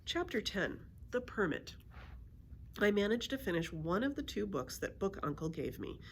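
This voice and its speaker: A woman reading a children's story aloud in an expressive storytelling style. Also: medium pitch, normal speed, slight background noise. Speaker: library story reader.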